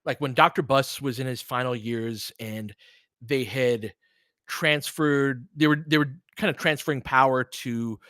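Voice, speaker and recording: A man's voice: mid-range voice, fairly even intonation, a little slow, fairly bright tone, no echo, clean studio sound. A man hosting casual game commentary, chatting candidly and rambling through stories and asides. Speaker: host doing audio play-by-play.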